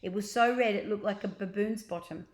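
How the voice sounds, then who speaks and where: An older woman reading a children's storybook aloud as a grandmother would, with expressive, drawn-out emphasis, in a roomy recording. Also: mid-range voice. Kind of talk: storybook narration read aloud.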